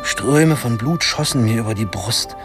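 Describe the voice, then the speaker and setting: A teenage boy tells his own story in a radio play, voiced by a man. His voice is mid-pitched, his delivery wry and dramatic, with faint music underneath. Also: lively intonation, moderate pace, close to an everyday voice. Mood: wry suspense. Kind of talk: first-person radio drama narration.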